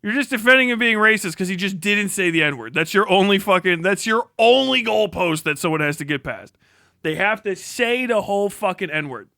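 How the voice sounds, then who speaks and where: High-pitched man in a combative, profane rant. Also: lively intonation, moderate pace, vocal fry, pushed and bright, no echo, studio quality. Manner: mocking, combative, sarcastic; heavy swearing. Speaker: live streamer reacting to a video. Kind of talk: profane political rant commentary.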